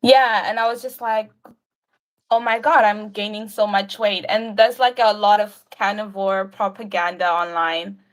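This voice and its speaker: A young woman with a South African accent talks casually over a video call. She rambles in an informal, conversational way, peppering her sentences with "like". Her voice sits at a medium pitch. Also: steady tone, a little slow. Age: young adult. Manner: rambling, frequent "like". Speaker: livestream guest, university student. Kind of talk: casual chat.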